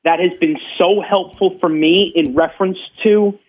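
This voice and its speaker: A high-pitched man heard over a thin, narrowband phone line. He is a caller speaking earnestly, in a halting way, repeating words to stress his points. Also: fairly even intonation, husky.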